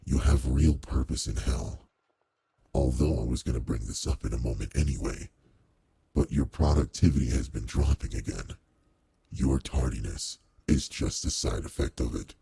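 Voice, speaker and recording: A man plays a courteous but commanding demon lord in an intimate audio roleplay. His voice is extremely low and very husky, with a dark, mellow color. He speaks in measured, formal phrases, like a boss who quietly cares. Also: dry recording, exaggerated voice. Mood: probing, concerned. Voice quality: very deep, gravelly, husky. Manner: measured, formal, authoritative with soft concern. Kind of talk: roleplay audio drama, intimate one-to-one address.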